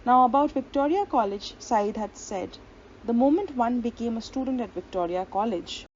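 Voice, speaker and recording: A woman's voice: fairly high pitch, animated, normal speed, a little mellow. Woman lecturing over dull lo-fi audio. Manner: reads long quotations aloud, occasional fillers and restarts. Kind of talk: explanatory lecture. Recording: dull lo-fi audio with faint hiss.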